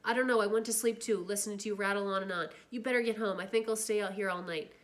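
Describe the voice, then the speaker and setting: A woman reading a novel aloud, voicing a gentle, frightened teenage boy who speaks softly to his friend. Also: medium pitch, fairly even intonation, quick pace, lightly acted. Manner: soft, reassuring.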